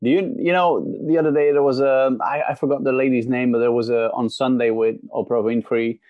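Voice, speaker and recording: A middle-aged man from Belgium, a guest on a podcast interview, telling a story in an animated way and often repeating words as he starts a sentence. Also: medium pitch, fairly quick, dry recording. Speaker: guest, motivational speaker. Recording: dry, dull lo-fi sound.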